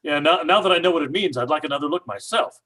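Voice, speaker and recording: A man's voice: a little high, fast talker, bright, forceful tone. A man playing a brash skeptical father. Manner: brash, dismissive, stammering fillers. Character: blustering skeptical father, middle-aged house owner. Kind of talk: old-time radio drama acting.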